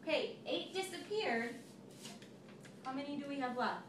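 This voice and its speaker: A woman's voice: sits high, expressive, moderate pace. A woman teacher reading a picture book aloud to a child, telling it expressively and stopping to ask questions. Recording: echoey room, recorded from far off, noisy.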